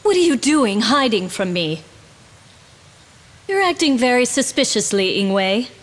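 An actress voicing a proud, dutiful young princess warrior who speaks in a composed, firm way in a game cutscene. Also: female voice, on the high side, very animated, a little slow. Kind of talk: video game cutscene dubbing. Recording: game audio with faint music behind.

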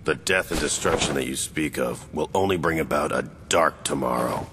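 An actor playing a grim masked vigilante detective in a video game, terse, stern and controlled. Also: male voice, extremely low pitch, normal speed, background noise. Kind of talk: video game hero acting. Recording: long hall reverb, music behind.

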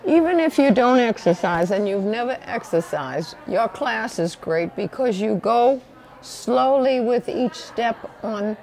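Brief: older woman, community TV host, encouraging, slightly high voice. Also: expressive, measured pace, vocal fry, a little mellow. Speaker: community TV interviewer. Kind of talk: interview and scripted sign-off. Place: indoor library room, seated in armchair.

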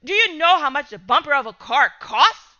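A woman voicing an angry middle-aged father who shouts and scolds in a storybook reading. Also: extremely high pitch, animated, moderate pace, lo-fi sound, voice pushed up, heavily acted.

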